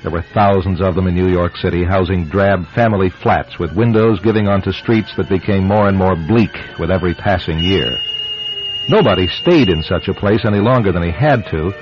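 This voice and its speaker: A man hosting and narrating a scripted radio drama in a dramatic, deliberate storytelling manner. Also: deep voice. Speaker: host and narrator. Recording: muffled old radio broadcast, faint music.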